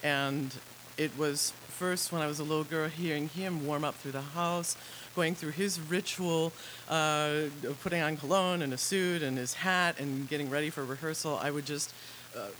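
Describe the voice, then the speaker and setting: A woman from Chicago, an opera soprano, telling her story in a radio interview with a reflective manner and many pauses. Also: low voice, fairly bright tone, poor audio. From Chicago, USA. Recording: faint tape hiss.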